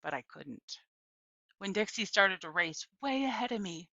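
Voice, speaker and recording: A woman narrates a children's story with very varied pitch and a bright delivery. The recording is dry and slightly dull, lo-fi in sound. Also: lively intonation, moderate pace, creaky, no room sound. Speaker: audiobook reader.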